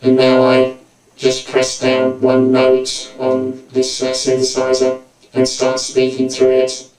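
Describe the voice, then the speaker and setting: Man, vocoder effect, loud synth tones, slow even delivery, tutorial demonstration. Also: mid-range voice, roomy sound, over loud music, rough recording. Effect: vocoder, synth-driven voice. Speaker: tutorial presenter. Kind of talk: music gear demonstration.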